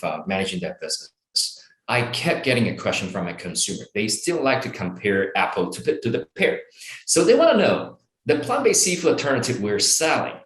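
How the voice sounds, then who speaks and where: A middle-aged man from Taiwan, a startup founder answering interview questions in long, animated run-on explanations with fillers. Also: mid-range voice, roomy sound, rough recording.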